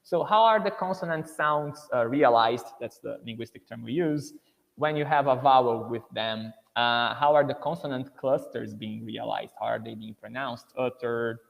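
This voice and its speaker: A man from Brazil, speaking with a Brazilian Portuguese accent, presents an English-teaching webinar in a relaxed, conversational way. His voice sits at an ordinary middle pitch, and he peppers his points with "you know" and "right". The computer-mic audio carries a long reverb tail. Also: moderate pace, gravelly. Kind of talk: webinar lecture.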